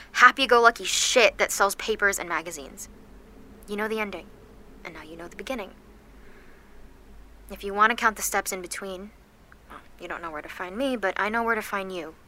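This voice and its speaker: A woman's voice: medium pitch, brisk, bright, forceful tone, slight background noise, natural voice. A woman playing an anxious, weary seventeen-year-old girl with superpowers, confiding wryly in an audio drama monologue. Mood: somber, bitter. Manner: wry, sardonic, confessional.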